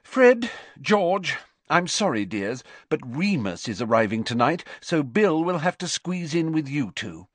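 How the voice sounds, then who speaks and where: A man's voice: on the high side, very animated, unhurried, dry recording, pitched above the performer's own voice. A man voicing a harried, scolding middle-aged mother in a storybook, fussing and maternal. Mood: harassed.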